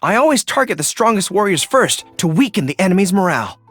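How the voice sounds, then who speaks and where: A man voicing an earnest, dutiful young dog-eared general in a video game, with crisp military diction. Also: high-pitched, very animated, quick pace, fairly bright tone, dry recording, heavily acted. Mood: earnest, proud. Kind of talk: video game character voice acting.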